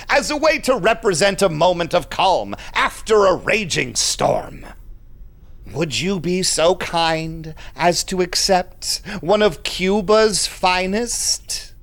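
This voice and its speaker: A man's voice: high voice, lively intonation, slow pace, gravelly, a bit bright, heavily acted. A man playing a shady, condescending vampire businessman in an audio drama, with an unctuous, theatrical, confiding manner. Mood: smooth, assured. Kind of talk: audio drama acting with narrated asides.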